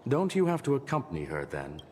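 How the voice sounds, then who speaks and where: A man voicing a polite, formal robot scholar in a video game, speaking in a measured way. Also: mid-range voice, wildly swooping pitch, a little mellow, slightly rough. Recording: game dialogue over music.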